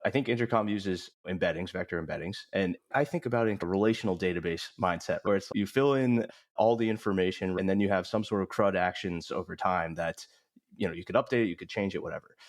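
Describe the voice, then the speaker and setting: Man, lowish voice, podcast host, curious questions. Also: quick pace. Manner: curious, loosely phrased questions. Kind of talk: interview questions.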